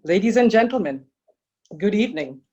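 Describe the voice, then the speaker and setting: A woman's voice: mid-range voice, expressive, moderate pace, darkish tone. Woman master of ceremonies on dull call audio. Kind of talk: formal welcome to an online audience. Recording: dull, band-limited video call audio.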